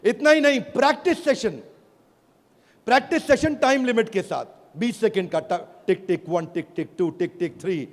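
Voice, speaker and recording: High-voiced Indian man addressing an echoing hall. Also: normal speed. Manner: emphatic, rhetorical, calls out to the audience and repeats phrases. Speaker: business speaker presenting a product launch. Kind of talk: motivational stage sales presentation. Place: large event hall with live audience. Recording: echoing hall, audience noise, loud background.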